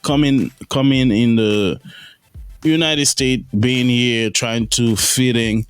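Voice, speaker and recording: A man's voice: mid-range voice, slow, clear, resonant tone, no echo, faint music behind. Male podcast host, dry and close. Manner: laughs often, repeats words while forming questions. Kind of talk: interview questions, casual.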